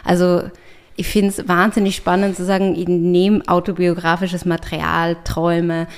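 A woman's voice: on the low side, level tone, normal speed, clean tone. A woman writer and artist talking reflectively in an interview, often restarting and searching for words. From Austria. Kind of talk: thoughtful conversational interview.

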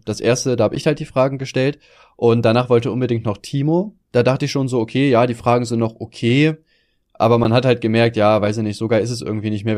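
Fast-talking, slangy man on a podcast. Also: mid-range voice, darkish tone. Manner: quick, slangy, laughs while telling stories. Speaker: podcast co-host. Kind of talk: casual chat.

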